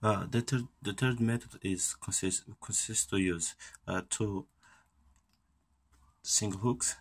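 Slow, gravelly low man. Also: steady tone. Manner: unhurried, hesitant with many fillers. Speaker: fishing tackle instructor. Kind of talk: casual hands-on tutorial. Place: indoors at a table. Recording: noisy home recording.

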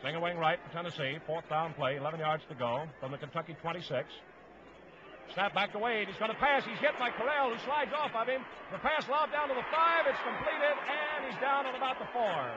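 A man's voice: sits high, a little fast, lo-fi sound, noisy background. A man calling play-by-play for a 1950s radio football broadcast in clipped, staccato phrases. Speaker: play-by-play sports announcer. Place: stadium broadcast booth.

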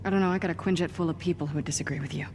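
A woman's voice: lowish voice, steady tone, very fast, a little mellow, faint music behind, natural voice. A woman playing a tough but reassuring spy hero in a video game, wry and no-nonsense. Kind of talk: video game cutscene acting.

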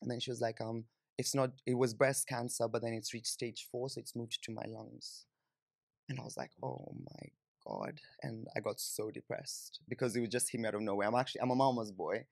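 A man's voice: mid-range voice, fairly even intonation, brisk, creaky, no room sound, clean studio sound. A young man with a Kenyan accent telling personal stories conversationally and informally, with lots of "like" and "you know". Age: young adult. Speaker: podcast guest. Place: small indoor podcast studio, on a sofa. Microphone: close studio microphone on boom arm.

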